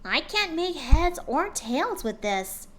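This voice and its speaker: A woman's voice: high-pitched, sing-song, takes it slowly, a bit bright, slight background noise, pitched above the performer's own voice, exaggerated voice. A woman voicing a studious, earnest young female pony who frets and lectures. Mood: puzzled.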